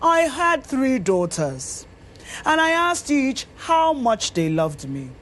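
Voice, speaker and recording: A woman's voice: sits high, big pitch swings, slow, fairly bright tone, a little hiss or hum, pitched above the performer's own voice, exaggerated voice. A woman voicing a sorrowful, remorseful old father in a storybook, making a tearful, emphatic confession. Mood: regretful.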